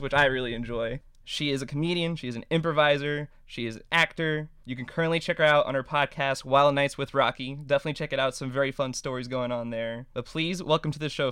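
Crisp, quick-talking male podcast host. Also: mid-range voice, a bit bright, no room sound, clean studio sound. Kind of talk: podcast interview and show intro.

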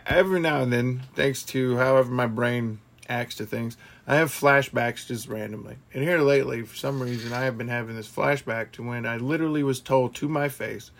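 Gravelly blunt man in casual talk. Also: mid-range voice. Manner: blunt, swears freely, animated. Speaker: podcast co-host. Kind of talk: casual discussion.

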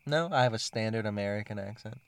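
A man's voice: lowish voice, fast talker, clear, resonant tone, dry recording. Joking man host in casual chat. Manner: joking, playful storyteller who laughs at his own jokes.

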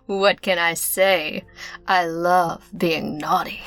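An actress playing a playful, gloating villainess in a parody radio mystery, teasing and theatrical. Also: female voice, on the low side, fairly even intonation, slow, a bit bright, soft background music. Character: playful, gloating femme fatale villainess, secretly a journalist.

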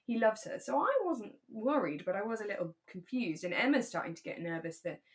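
A woman's voice: medium pitch, animated, a little fast. A British woman co-hosting a podcast, chatting casually about a radio drama in a chatty, giggly way. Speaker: podcast co-host.

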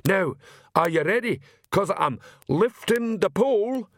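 A big, dim male troll guard speaks in a drawn-out, earnest way, pitched up and a little louder, with a man doing the voice. The sound is dry and close, as in an audiobook. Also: lively intonation, no room sound. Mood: earnest simple. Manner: slow, simple, earnest.

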